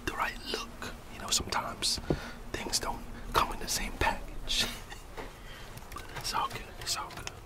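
A man's voice: a little slow, recorded from a distance. A middle-aged American man whispering a casual, grumbling ASMR roleplay as a gossiping office employee, in a conspiratorial manner.

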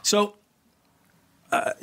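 A man's voice: extremely slow, a bit bright. A middle-aged man, a co-host, asks probing questions in a casual studio chat, stopping and restarting his sentences. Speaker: podcast co-host. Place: small podcast studio with LED lights. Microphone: desk mic on boom arm.

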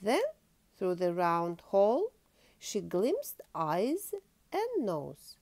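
Female storytime host: very slow, highly expressive children's read-aloud with some vocal fry. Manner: asks listeners questions.

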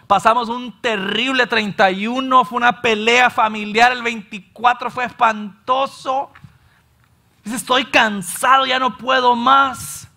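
Guy preaching on a church stage, salvadoran accent, high bright voice, lively and gesturing. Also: a little slow, gravelly. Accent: Salvadoran Spanish. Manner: animated, gestures widely, acts out listeners' complaints. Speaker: pastor. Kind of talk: sermon. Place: church stage in front of a large screen.

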